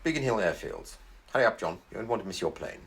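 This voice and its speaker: Deep-voiced, urbane British spymaster. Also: male voice, very animated, fast talker, gravelly, a bit bright, slightly rough, heavily acted. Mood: brisk, authoritative. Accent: British English. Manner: jovial, clipped, old-fashioned upper-class phrasing. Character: urbane, secretive British intelligence man, brisk and wry. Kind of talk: audio drama acting.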